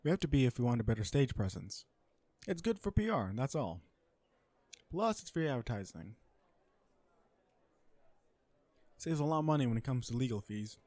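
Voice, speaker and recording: A man's voice: mid-range voice, very animated, a little fast, warm tone, no room sound, close to an everyday voice. A man playing a self-assured, flirtatious businessman supervillain in a romantic comedy audio roleplay, talking to the listener in a sardonic, conversational way. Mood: smug, exasperated. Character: cocky, flirtatious businessman supervillain on a blind date.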